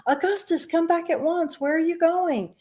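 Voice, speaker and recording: A woman voicing a frantic mother who shrieks in a shrill, panicky voice. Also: normal speed, darkish tone. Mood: pleading.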